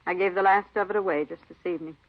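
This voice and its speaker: A woman's voice: on the low side, fairly even intonation, racing. An actress playing an aggrieved, defiant cleaning woman with plaintive, insistent, clipped folksy phrasing in a 1950s radio drama. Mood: calm, self-justifying. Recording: muffled vintage radio recording.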